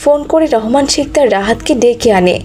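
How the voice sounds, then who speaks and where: High-voiced woman narrating a story. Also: expressive, a little fast, mellow.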